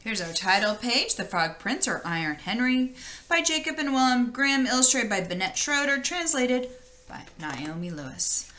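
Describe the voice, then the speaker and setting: A woman reading a fairy tale aloud as an expressive storyteller, with deliberate dramatic emphasis. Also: on the high side. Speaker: storybook reader.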